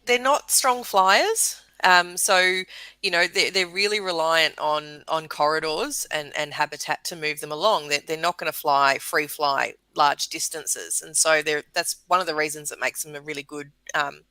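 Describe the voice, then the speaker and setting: A woman's voice: sits low, normal speed, pushed and bright, no room sound. An Australian woman, a bird conservation guest on a radio show, explaining with frequent fillers and repeated words. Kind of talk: casual radio interview.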